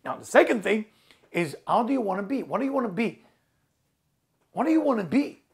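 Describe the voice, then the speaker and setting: A man's voice: sits high, big pitch swings, brisk, creaky. A middle-aged man whose first language is Hebrew gives an earnest spiritual motivational talk, repeating key words emphatically in non-native phrasing. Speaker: spiritual teacher.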